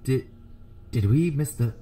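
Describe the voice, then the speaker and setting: A man playing a frightened, desperate brother in a horror audio story, urgent and halting. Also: mid-range voice, expressive, warm tone, slight background noise, natural voice. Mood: urgent, dazed.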